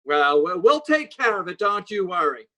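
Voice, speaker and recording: An actor playing a weary, dismissive city police sergeant in 1940s radio drama style, placating and patronizing. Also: male voice, high voice, very animated, normal speed, bright, forceful tone, heavily acted. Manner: hesitant, placating, then brusquely patronizing.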